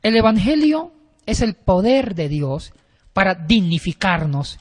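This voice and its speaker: A man's voice: high-pitched, a little slow. Man explaining church history, reverberant. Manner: explanatory, with frequent restarts and hesitations. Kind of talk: casual discussion of church history. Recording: long reverb.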